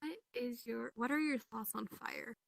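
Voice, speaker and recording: A woman's voice: on the high side, measured pace, gravelly, dry recording, studio quality. A young woman asking questions in a casual chat. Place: online voice chat in a virtual reality game.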